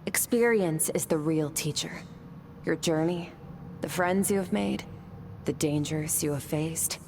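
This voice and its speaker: A woman voicing a calm, cool female swordswoman mentor who speaks in an even, composed, instructive way. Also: sits low, a little slow, background noise. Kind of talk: game voice acting.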